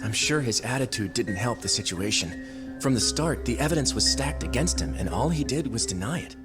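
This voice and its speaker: A man's voice: mid-range voice, expressive, brisk, close to an everyday voice. An actor playing an earnest male defense lawyer in a game cutscene. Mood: concerned. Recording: loud music under the voice.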